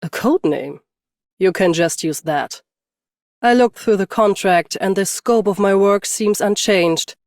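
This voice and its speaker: A woman's voice: mid-range voice, unhurried, a little mellow, dry recording, clean studio sound, close to an everyday voice. A woman playing a stoic, battle-hardened champion swordswoman and bodyguard in a video game, restrained and commanding. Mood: calm, dry. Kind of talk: scripted game character voice lines.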